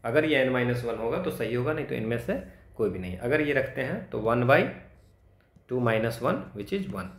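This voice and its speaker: Slow, gravelly-voiced math tutor. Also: male voice, medium pitch, lively intonation, roomy sound. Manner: mixes Hindi and English, thinks aloud, corrects himself. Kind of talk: solving exam problems aloud.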